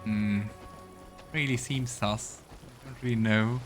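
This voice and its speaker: A man's voice: medium pitch, fairly even intonation, takes it slowly. Man narrating, music behind him. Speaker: let's play host reading game dialogue. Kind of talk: casual game commentary and narration.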